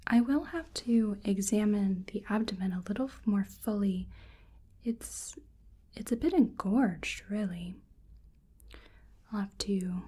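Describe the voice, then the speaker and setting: Slow, breathy whispering ASMR woman. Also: medium pitch. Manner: gentle, hesitant, musing delivery. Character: curious, polite female researcher examining a creature. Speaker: ASMR roleplay performer. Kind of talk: soft-spoken ASMR roleplay.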